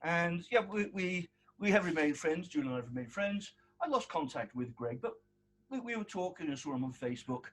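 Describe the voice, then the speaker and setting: A man's voice: fairly high pitch, expressive, fairly quick, a bit bright. A man in his sixties from South Africa, giving an informal eulogy at a wake with a big voice and rambling, fond storytelling full of restarts. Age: 60s.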